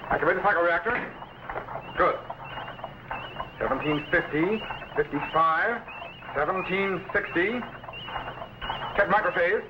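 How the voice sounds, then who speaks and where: Time-machine captain, instructive and commanding, slightly high voice, fifties radio serial, muffled sound. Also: male voice, a little slow, long pauses. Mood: commanding, focused. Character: authoritative time-machine captain, brisk explaining mentor. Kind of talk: 1950s radio sci-fi acting. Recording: noisy muffled old radio recording.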